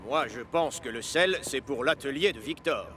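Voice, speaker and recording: A man voicing a calm, helpful railway worker in a French-dubbed children's cartoon. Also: medium pitch, normal speed, heavy background noise, natural voice. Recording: long reverberant hall sound.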